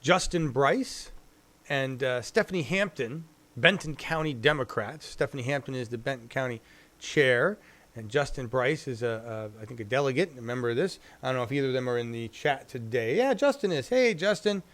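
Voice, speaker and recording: A man hosting a live-stream talk show, rambling casually and thinking out loud as he goes. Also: mid-range voice, expressive, normal speed. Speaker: show host.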